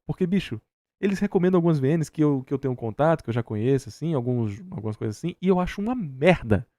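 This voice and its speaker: A Brazilian man with a relaxed, mellow tone talks informally and opinionatedly. His delivery is emphatic and full of slang. The sound is dry and close. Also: fairly high pitch, quick pace, no room sound. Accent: Brazilian Portuguese. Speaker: reaction stream host. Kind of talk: casual opinionated commentary.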